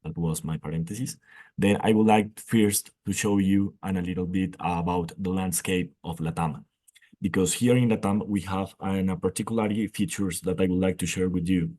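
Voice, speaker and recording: A man with a Colombian Spanish accent presents his slides at a panel, speaking English as a second language. His voice sits low, and he talks haltingly, restarting and doubling words as he feels for each phrase. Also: fairly even intonation, mellow.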